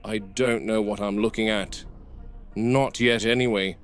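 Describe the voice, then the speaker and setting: A man playing a grave, observant game warden, narrating a scripted field log in a measured, dry, reflective way. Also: lowish voice, lightly acted.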